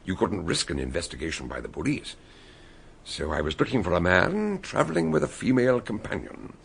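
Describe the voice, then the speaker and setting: An actor playing a shrewd male detective who calmly lays out how the crime was solved in a radio drama. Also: low voice, creaky, slight background noise, heavily acted. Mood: explaining. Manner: explaining, measured.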